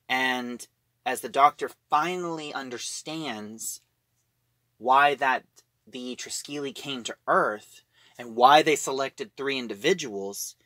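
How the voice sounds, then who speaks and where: A man giving a casual spoken review and plot retelling, in a halting manner, piecing phrases together as he goes. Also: medium pitch, bright, forceful tone, no room sound. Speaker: audio drama reviewer.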